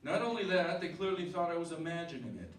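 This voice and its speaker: A man's voice: fairly high pitch, big pitch swings, fast talker. Man acting an earnest, shaken man, echoey recording. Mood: bitter. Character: earnest, shaken family man, bitter toward doubters. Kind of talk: radio drama acting and first-person narration. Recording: distant echoey room, clearly noisy amateur recording.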